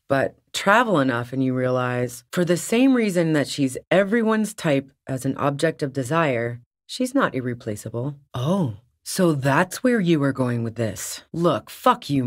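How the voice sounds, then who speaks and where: A woman's voice: deep voice, dry recording, heavily acted. A woman playing a tough, sardonic female lorry-driving smuggler in a video game, with a dry, sarcastic and casually profane way of talking. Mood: defensive, cold, then defiant, irritated. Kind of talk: video game character dialogue.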